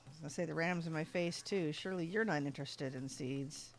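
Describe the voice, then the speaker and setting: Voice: medium pitch, a little fast, slight background noise. A gaming streamer giving casual gameplay commentary, often talking to the game's animals and to themselves. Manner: talks to game animals and self.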